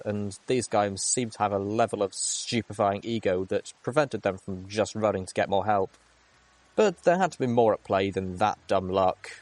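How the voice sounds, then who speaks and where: A man's voice: lowish voice, a little mellow, dry recording. A man reading a first-person adventure story aloud as dramatic narration. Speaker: audiobook reader.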